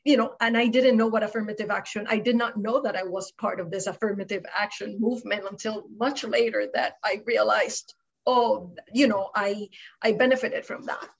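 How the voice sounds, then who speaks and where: A woman's voice: medium pitch, fairly even intonation, normal speed, vocal fry, slightly rough. A middle-aged woman from Puerto Rico talks through her experience on a webcam panel. She is reflective and explanatory, tagging her points with "right?". The call audio is a little dull and lo-fi. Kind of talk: panel discussion on a video call. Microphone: video call webcam.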